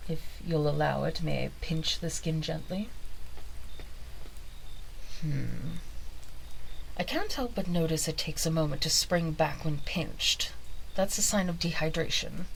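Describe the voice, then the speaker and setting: A woman playing a quirky, scholarly plague doctor in an ASMR examination roleplay, speaking softly in formal, polite, wordy phrases. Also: low voice, vocal fry, a little hiss or hum, heavily acted, rough recording. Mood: gently attentive.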